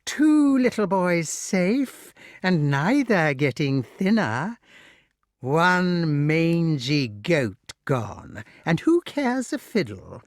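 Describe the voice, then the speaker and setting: A storyteller voicing a gloating old witch, crooning with mock sweetness and menace in exclamatory, drawn-out words. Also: mid-range voice, very animated, no echo, studio quality, voice pushed up, exaggerated voice. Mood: mock-sweet, gloating.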